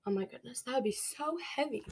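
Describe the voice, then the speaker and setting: A woman's voice: fairly high pitch, lively intonation, a little fast, slightly rough. A young woman reading a picture book aloud to small children, stopping to explain and ask them questions. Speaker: preschool read-along storyteller. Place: small dim bedroom, indoors.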